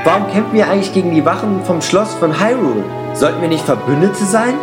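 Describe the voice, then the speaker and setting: Lively, higher-pitched young man. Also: fast. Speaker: performer playing himself in audio drama. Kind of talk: scripted adventure dialogue, audio drama. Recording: music bed behind the voice.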